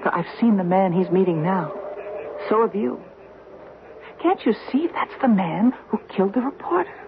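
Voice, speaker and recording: A woman's voice: mid-range voice, expressive, normal speed, close to an everyday voice. An actress playing an anxious, persistent wife in an old-time radio drama, stammering as she presses her suspicions. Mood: insistent. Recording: muffled, noisy old radio broadcast.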